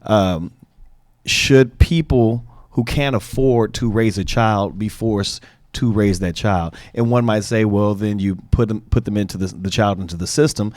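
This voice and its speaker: A man's voice: on the low side, a little mellow, dry recording, studio quality. A man hosting a legal discussion podcast, talking conversationally with frequent fillers and restarts. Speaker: podcast host.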